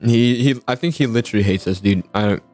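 A man's voice: mid-range voice, fast talker, darkish tone, soft background music. A man chatting casually in an improvised game roleplay, rambling and slangy, calling people dude and bro. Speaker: video host playing a student in a game roleplay.

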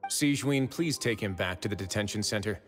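An actor playing a composed young prison administrator in a video game, speaking in a measured, assured, wry way. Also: male voice, on the low side, close to an everyday voice. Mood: composed, probing. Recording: long reverb, music underneath.

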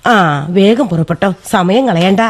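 A woman's voice: medium pitch, normal speed, close to an everyday voice. A woman with a Kerala accent playing a firm, elderly village aunt who runs the household in a radio drama. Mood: firm, practical. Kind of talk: Malayalam radio drama acting.

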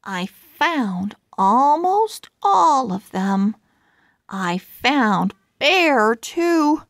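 Very slow, high-voiced woman reading to children. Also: big pitch swings, fairly bright tone, dry recording, clean studio sound. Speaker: read-aloud storyteller. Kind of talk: children's book read-aloud.

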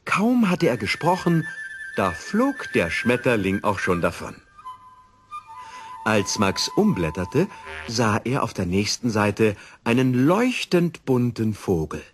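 A man reading a children's story as a storyteller, in an expressive, theatrical way. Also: on the low side, takes it slowly, music in the background. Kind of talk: scripted children's story narration.

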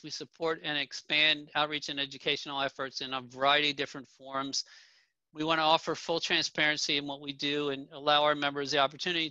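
A middle-aged man leads an online town hall from his home office, his very bright voice sitting a little high. He talks plainly, with ums and restarts along the way. The webcam sound is dull and dry. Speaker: association president presenting at a town hall. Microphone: video call webcam. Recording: dull, dry video call audio.

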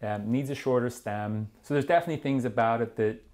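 A man in his thirties with a medium-pitched voice chats through a product review. He talks informally and loosely, as a magazine bike tester would, in a small indoor studio. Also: brisk, darkish tone. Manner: hedging, informal, frequent "like" and "kinda". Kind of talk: casual product review discussion.

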